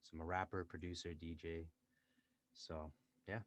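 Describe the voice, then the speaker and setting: A young man, an entrepreneur and rapper born in Dubai and raised in Canada, chatting casually as a podcast guest from a small closet home studio, relaxed and full of fillers. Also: sits low, moderate pace. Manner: relaxed, chatty, many fillers and self-quoting. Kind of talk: casual interview chat.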